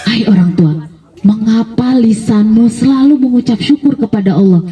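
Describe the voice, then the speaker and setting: A woman's voice: fairly high pitch, normal speed, mellow, far from the mic, lightly acted. A woman voicing a curious young male traveller in a live children's story, asking questions politely and hesitantly. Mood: curious, respectful.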